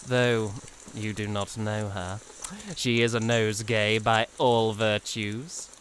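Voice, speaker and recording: An actor playing a courtly, haughty young knight in a fan audio drama, speaking grand archaic lines with theatrical amusement. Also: male voice, on the low side, flat delivery, slow pace, fairly bright tone, a little hiss or hum, rough recording. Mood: courtly, earnest.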